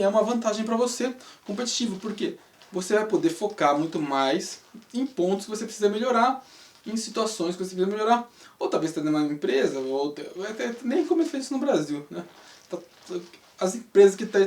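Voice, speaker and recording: A man's voice: high voice, darkish tone. Brazilian man talking in a roomy space. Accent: Brazilian Portuguese. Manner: rambling, rhetorical questions, frequent tag questions. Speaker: channel host, English teacher. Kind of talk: casual spoken monologue. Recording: roomy sound, mic at a distance.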